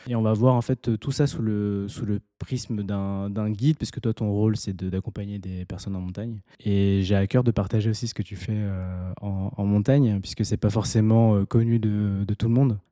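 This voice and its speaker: A man's voice: lowish voice, steady tone, normal speed, hardly any pauses, dark tone, no echo, clean studio sound. A man hosting a podcast interview, talking with many hesitations and restarts. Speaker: podcast host. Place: home near the mountains.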